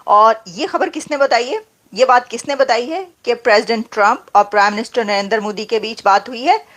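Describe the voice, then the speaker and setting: A woman with a high-pitched voice delivers news commentary. She speaks emphatically, restating her points and putting rhetorical questions to the audience. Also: brisk, a bit bright.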